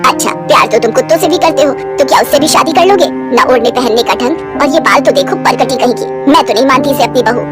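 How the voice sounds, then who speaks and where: A woman's voice: sits high, brisk, few pauses, natural voice. Bossy middle-aged mother-in-law, acted by a woman narrator; scornful, sarcastic, melodramatic storybook delivery over background music. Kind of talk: acted dialogue in a moral story. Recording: music behind the voice.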